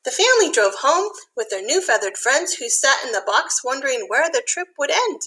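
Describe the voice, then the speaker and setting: Bright-voiced woman reading to young children. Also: sits high, a little fast, poor audio. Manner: expressive, simple explanations addressed to children. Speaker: elementary school teacher reading a picture book aloud. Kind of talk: read-aloud storytelling for young children with explanatory asides.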